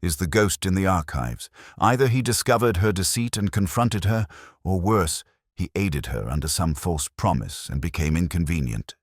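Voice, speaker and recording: A man voicing a composed, brilliant Victorian detective in an audiobook, speaking in precise, formal and deliberate phrases. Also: low-pitched, animated, dry recording, studio quality, pitched above the performer's own voice. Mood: brooding. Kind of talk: audiobook character dialogue.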